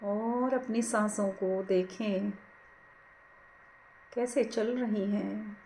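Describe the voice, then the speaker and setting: A woman leading a guided meditation, giving soothing, gentle, unhurried instructions. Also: mid-range voice, steady tone, a little hiss or hum, poor audio. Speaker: meditation teacher.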